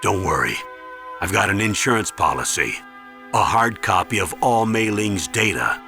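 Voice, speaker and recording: An actor playing an older military commander in a video game, measured and earnest, with a fatherly gravity. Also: on the low side, lively intonation, gravelly, bright, forceful tone, heavily acted. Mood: warm reassurance. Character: older male military commander, grave, paternal, remorseful. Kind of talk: dramatic video game acting. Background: music.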